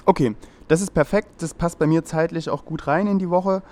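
A man's voice: fairly high pitch, moderate pace, darkish tone, close to an everyday voice. Man playing a chatty, eccentric handyman. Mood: chatty, agreeable. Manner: hesitant, thinking aloud. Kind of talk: German audio play, conversational.

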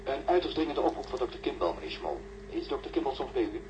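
A man's voice: medium pitch, very animated, fast. A man playing an apologetic, urgent assistant calling through an intercom in a science-fiction radio play. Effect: intercom or telephone filter. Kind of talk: radio drama acting. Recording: muffled filtered call, faint noise.

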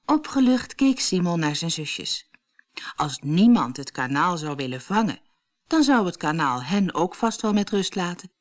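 A woman reads a children's story for an audiobook. Her voice sits a little low, and her intonation moves up and down a great deal. She tells it expressively, as scripted narration. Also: measured pace. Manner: expressive storytelling, acts out dialogue.

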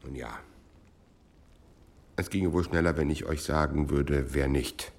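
An actor playing a fussy, bookish monk librarian in an audio drama, hesitant, apologetic and evasive. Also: male voice, extremely low pitch, measured pace, gravelly, background noise, full character voice. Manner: muttering to himself, hesitant, apologetic. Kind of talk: German audio drama acting.

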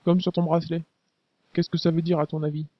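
A man's voice: on the high side, little pitch movement, brisk, dry recording, lo-fi sound, close to an everyday voice. A man voicing a young male resistance fighter who talks in loose slang with frequent fillers. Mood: casual. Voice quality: casual slang, hesitant fillers.

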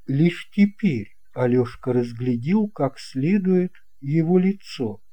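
A man narrating a children's tale as an audiobook reader, in a measured, expressive way. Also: fairly high pitch. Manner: slow, measured, expressive reading.

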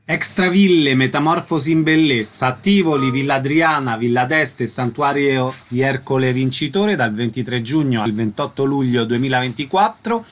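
A man's voice: mid-range voice, fairly bright tone. A man working as a radio interviewer, presenting and asking questions about arts events.

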